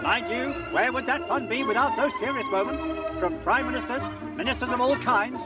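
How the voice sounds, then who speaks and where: High-pitched jolly British newsreel announcer, loud music. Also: male voice, brisk. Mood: mock grand. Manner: chirpy, exclamatory, quaintly old-fashioned. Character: jolly, plummy old-time cinema newsreel announcer, gushing and patriotic. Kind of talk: parody 1940s cinema newsreel commentary.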